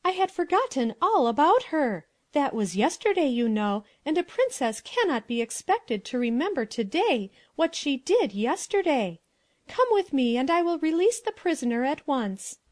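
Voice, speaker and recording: Haughty, high-voiced princess. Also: female voice, big pitch swings, moderate pace, a little mellow, no room sound. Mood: airy. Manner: imperious and dramatic. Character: vain, haughty, quick-tempered young princess. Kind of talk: dramatized storybook acting.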